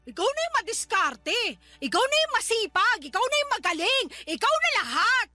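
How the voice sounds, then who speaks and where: Shrill, animated, scornful Filipina. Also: female voice, a little fast, soft background music, full character voice. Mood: mocking, furious. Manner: scornful, confrontational, melodramatic. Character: proud, bitter, sarcastic woman resort employee. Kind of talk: Filipino radio drama acting.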